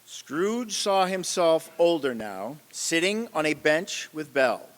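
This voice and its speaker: A man's voice: a little high, sing-song, slow. A man narrating a radio play as a vivid, wry storyteller with dramatic delivery. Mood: storytelling. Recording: echoey hall.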